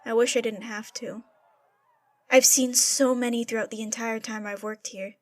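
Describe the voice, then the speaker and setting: An actress playing a kindly, motherly head maid of a castle in an audio drama, speaking gently. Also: a little high, steady tone, normal speed, lightly acted. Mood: gentle regret.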